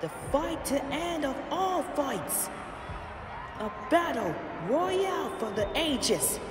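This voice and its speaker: A man's voice: extremely high pitch, sing-song, slow pace, music in the background, heavily acted. A man playing a bombastic, theatrical showman announcing a deathmatch in a melodramatic ring-announcer style. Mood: grand, gleeful hype. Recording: echoey distant arena with crowd noise.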